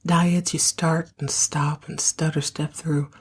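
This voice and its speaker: A woman in her thirties from the United States hosting a soap opera recap podcast, speaking in a hushed, half-whispered way because she has partly lost her voice. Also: low voice, little pitch movement, slow pace, husky. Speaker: podcast host.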